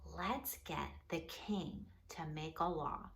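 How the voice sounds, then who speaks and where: A scheming, oily royal helper from a storybook, acted out by a woman. He speaks slowly and pompously, laying on obsequious flattery in a bright, pointed voice. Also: sits low, slight background noise, pitched below the performer's own voice, heavily acted. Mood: sly, plotting.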